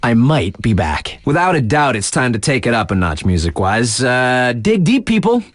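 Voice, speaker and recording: A man's voice: mid-range voice, big pitch swings, normal speed, no room sound. A man playing a hip, laid-back radio DJ on a snowboard mountain in a video game, introducing tracks with slangy, cocky, playful patter. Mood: upbeat, cool.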